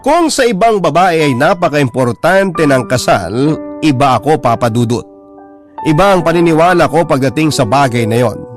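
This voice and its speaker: A man narrating a scripted first-person love story in a measured, confiding storytelling manner. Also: a little high, expressive. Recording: prominent music bed under narration.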